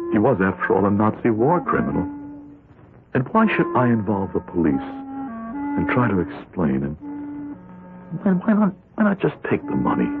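A man playing a despairing, earnest ordinary man in a 1970s radio drama. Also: low voice. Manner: brooding inner monologue, earnest pleading when threatened. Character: despairing ordinary man, decent but rationalizing, narrating his misdeeds. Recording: thin phone-like vintage radio broadcast.

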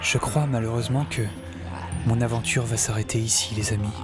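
A young man narrating an audio drama in the first person as an anxious, wry fugitive, confiding and sometimes breathy. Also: medium pitch, fairly even intonation, a little fast. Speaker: narrator-protagonist. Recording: loud music under the voice. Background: music.

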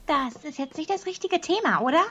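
A woman's voice: sits high, lively intonation, fairly quick, bright, forceful tone, highs cut off. A woman playing a playful, childlike teenage girl in an anime audio drama, with a naive, curious, singsong manner.

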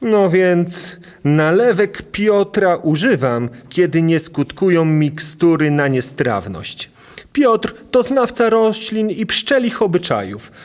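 An actor playing an earnest, thoughtful, helpful monk in an audio drama. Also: male voice, on the high side.

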